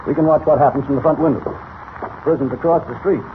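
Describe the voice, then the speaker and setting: A man's voice: a little high, quick pace, natural voice. A man playing an earnest, anxious police commissioner with an official manner in a 1940s radio serial. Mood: businesslike. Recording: scratchy, tinny old radio recording.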